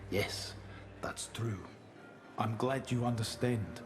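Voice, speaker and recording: Suave, menacing crime boss host. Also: male voice, on the low side, fairly even intonation, slow pace, over music. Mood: cordial. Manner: smooth, formally courteous, veiled threat. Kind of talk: video game cutscene acting.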